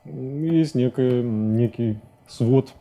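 Very dark-voiced, halting man. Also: medium pitch, creaky. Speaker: interview guest, animator. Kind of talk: reflective studio interview talk. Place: indoor TV studio at a desk.

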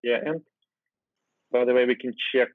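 A man's voice: mid-range voice, normal speed, dry recording. Ukrainian man on a tinny video call. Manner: relaxed, thinking aloud with fillers and restarts. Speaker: guest software developer. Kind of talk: casual screen-share coding chat.

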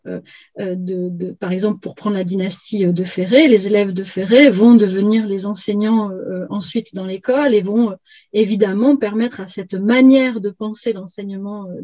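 Middle-aged woman, soft dark tone, keeps repeating words, dull dry video call audio. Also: mid-range voice, no room sound. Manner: halting, repeats words while searching. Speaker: historian and book co-author being interviewed. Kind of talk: academic discussion on a video call. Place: indoors on a video call, virtual background. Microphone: computer video call.

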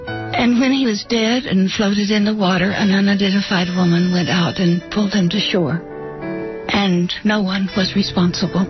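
An older woman poet giving a solemn, storytelling dedication. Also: mid-range voice. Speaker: poet and author. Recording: dull lo-fi recording. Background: music.

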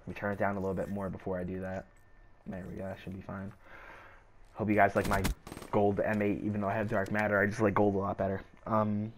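A young man with a sore, scratchy throat, rambling casually with lots of fillers while he plays a game. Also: on the low side. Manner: rambling, hesitant, filler-heavy. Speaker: gaming YouTuber. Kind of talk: casual gameplay commentary.

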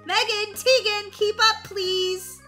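A woman's voice: sits high, animated, normal speed, pushed and bright, soft background music. A woman playing a fussy, exasperated young babysitter, scolding and coaxing in an exaggerated way for a children's game roleplay. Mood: flustered.

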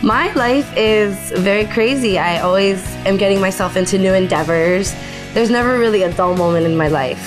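A woman's voice: medium pitch, normal speed, music in the background. A 24-year-old woman from Hawaii introducing herself confidently in an interview. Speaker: contestant. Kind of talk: casual interview.

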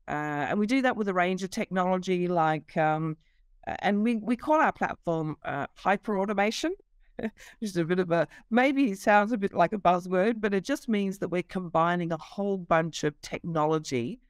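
A woman's voice: lowish voice, moderate pace, clean tone, no echo, clean studio sound. A middle-aged woman, a company CEO, explaining her business over a video call, fluent but with many fillers. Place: office.

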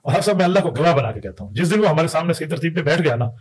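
Pakistani guy on a podcast mic, really rapid husky voice, argumentative. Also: fairly high pitch, big pitch swings. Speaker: podcast guest, mufti. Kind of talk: podcast conversation. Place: indoor podcast studio. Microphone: close boom-arm podcast mic.

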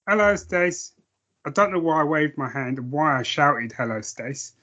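A man's voice: a little high, animated, moderate pace, sharp tone. A man co-hosting a casual podcast, easygoing and rambling, with self-deprecating asides. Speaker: podcast co-host. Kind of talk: casual chat.

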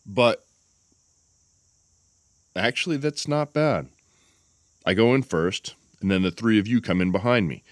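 An actor playing a gung-ho rescue leader in an audio horror drama, blunt and urgent. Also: male voice, lowish voice, unhurried, pauses often, dry recording, clean studio sound, close to an everyday voice. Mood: urgent resolve. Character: gung-ho action man leading a rescue charge.

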